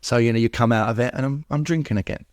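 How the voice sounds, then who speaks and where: A middle-aged man tells a personal story as an interview guest. His voice sits at an everyday male pitch and is recorded dry and close. He acts out bits of dialogue as he goes. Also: quick pace, a little mellow, no room sound. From England. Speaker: podcast guest.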